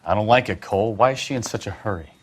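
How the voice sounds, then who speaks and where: A man's voice: fairly low pitch, fast talker, husky. A man playing a cynical, world-weary 1940s police detective in a video game, sardonic and grumbling. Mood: suspicious, weary. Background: music.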